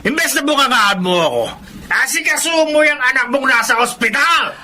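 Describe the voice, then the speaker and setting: High-pitched, hot-tempered father. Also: animated, normal speed, a little hiss or hum, full character voice. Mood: indignant. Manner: indignant, loud arguing. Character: middle-aged, defensive, hot-tempered drinking father. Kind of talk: radio drama acting.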